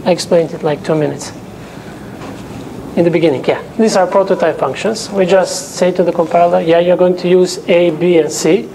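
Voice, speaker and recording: A man's voice: high-pitched, fairly even intonation, normal speed, vocal fry, mellow, a little hiss or hum, low-quality recording. Male lecturer checking "okay?" often. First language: not English. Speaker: teaching assistant. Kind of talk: classroom lecture. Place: lecture room with a projector and whiteboard. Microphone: lapel mic.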